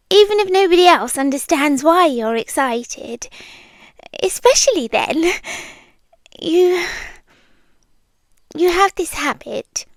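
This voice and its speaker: Slow, high, softly whispering British monster girl played by a woman. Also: no echo, clean studio sound, exaggerated voice. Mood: tender. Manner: soft, often whispered, awkward and hesitant. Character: shy, anxious, lovestruck female under-bed monster. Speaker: ASMR roleplay performer. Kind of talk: intimate ASMR girlfriend roleplay.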